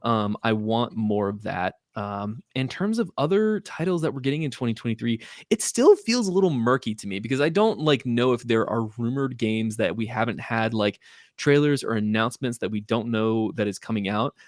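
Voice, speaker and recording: A man's voice: mid-range voice, animated, moderate pace, no echo, studio quality. A man co-hosting a casual gaming podcast, talking in an informal, rambling, enthusiastic way. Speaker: podcast co-host.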